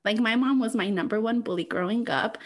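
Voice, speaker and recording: A woman's voice: fairly high pitch, fairly quick. A woman whose first language is Spanish, co-hosting a podcast in casual chat and laughing often. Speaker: podcast co-host.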